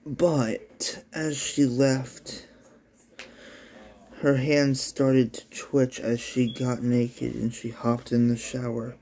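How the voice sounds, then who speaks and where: Slow-talking amateur male story reader. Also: mid-range voice, little pitch movement, mellow, husky. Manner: halting, casual, sweary. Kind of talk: narrated story read-along with casual asides.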